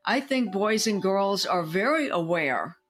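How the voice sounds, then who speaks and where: A woman's voice: mid-range voice, expressive, unhurried, fairly bright tone. Woman, mid-range voice, casual giggly conversational reminiscence. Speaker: discussion participant. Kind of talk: casual chat.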